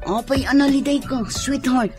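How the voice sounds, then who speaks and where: A woman's voice: sits high, fairly even intonation, normal speed, a little mellow, faint music behind, close to an everyday voice. A woman acting an earnest, plaintive tomboy sweetheart in a radio drama. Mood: concerned, coaxing. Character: lovestruck tomboy sweetheart, earnest, reluctant to wear a gown.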